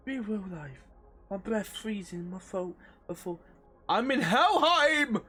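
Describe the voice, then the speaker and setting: A woman's voice: mid-range voice, lively intonation, unhurried, fairly bright tone, husky. A woman giving loose, rambling playthrough commentary as a game streamer, casual and sweary, sometimes reading game text aloud. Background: game music.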